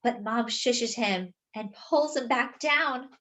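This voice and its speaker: A woman reading a children's picture book aloud, expressively and with animated delivery for young listeners. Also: fairly high pitch. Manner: animated, pausing to explain words.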